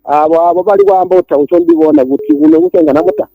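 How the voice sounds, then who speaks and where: A man's voice: high-pitched, big pitch swings, very fast, vocal fry, no echo, narrow, tinny audio. A man with a Zambian accent calling a radio phone-in, mixing English with his mother tongue as he lists complaints. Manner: switches between English and Tonga, urgent complaints. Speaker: caller. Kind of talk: phone-in call on politics. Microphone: phone line.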